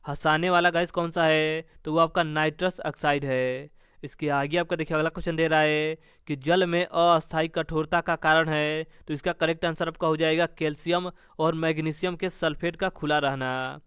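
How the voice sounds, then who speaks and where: A man's voice: fairly high pitch, steady tone, moderate pace, fairly bright tone, clean tone, no room sound, clean studio sound. A man teaching an exam-prep lesson, reading out questions and answering each in a repetitive, formulaic way.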